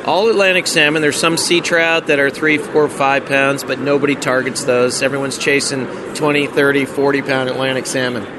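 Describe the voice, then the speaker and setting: An American man working in marketing for a fishing lodge, chatting casually in an interview, relaxed, with lots of ums and dry humor. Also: mid-range voice, normal speed, hardly any pauses. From United States. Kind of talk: casual interview at an outdoor event. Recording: noisy outdoor street recording.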